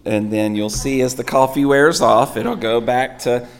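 A man, a pastor preaching with a sore, hoarse throat, telling rambling self-mocking stories. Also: mid-range voice, fairly even intonation, unhurried. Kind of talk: sermon.

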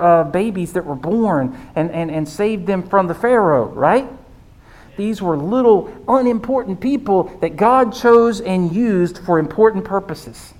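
A pastor with a squeaky voice preaching in a conversational way, often checking in with the listeners. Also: a little mellow. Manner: conversational, keeps asking "right?". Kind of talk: sermon.

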